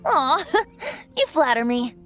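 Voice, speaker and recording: A woman's voice: sits high, very animated, slow pace, pushed and bright, heavily acted. A woman voicing a carefree, playful young male wind god in a video game, light and self-deprecating. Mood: modest. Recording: game audio with music behind.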